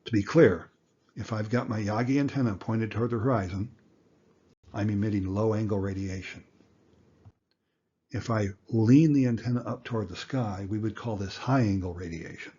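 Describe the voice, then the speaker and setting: A man giving an informal explanatory lesson as an instructor, speaking deliberately and emphatically with rhetorical questions. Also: on the low side, warm tone, slightly rough, highs cut off. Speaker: instructor, amateur radio teacher.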